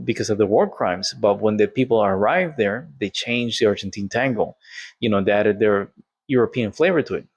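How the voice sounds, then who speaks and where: Man, lowish voice, candid vlog storytelling, dry close recording. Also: slightly rough, no room sound. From Colombia. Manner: candid, conversational, quotes others in dialogue. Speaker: vlogger. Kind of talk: personal storytelling monologue.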